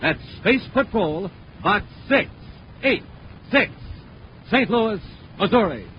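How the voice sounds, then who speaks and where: An American man as an emphatic, showman-like 1950s radio announcer, reading scripted narration and sponsor pitches. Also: lively intonation, takes it slowly. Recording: muffled, noisy 1950s radio recording.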